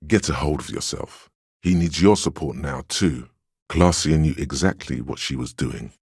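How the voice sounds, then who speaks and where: An extremely deep, gravel-edged inner voice of willpower for a video game. It counsels like a stern but encouraging mentor, measured and solemn, with a heavy husk in the tone and a dry, close sound. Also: male voice, darkish tone, no room sound, heavily acted. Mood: steadying. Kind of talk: scripted game narration, second-person counsel.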